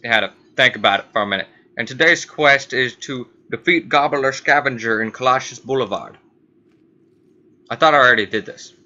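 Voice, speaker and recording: A man's voice: mid-range voice, bright, forceful tone, highs cut off. A man giving casual let's play commentary, rambling and repeating himself as he thinks aloud, as host of a gaming channel. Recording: faint background noise.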